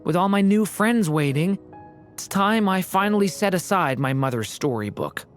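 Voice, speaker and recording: An actor voicing an earnest young dragon boy in a video game, soft, sincere and wistful. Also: mid-range voice, lively intonation, moderate pace, soft background music, close to an everyday voice. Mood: resolved. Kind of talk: dramatic video game voice acting.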